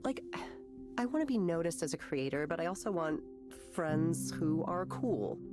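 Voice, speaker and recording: Insecure young creative, low female voice, hesitant, rambling, self-conscious, game dialogue, background music. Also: big pitch swings, normal speed. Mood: wistful, hopeful. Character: nervous, insecure young woman creator in counseling, self-deprecating. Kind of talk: naturalistic visual novel voice acting.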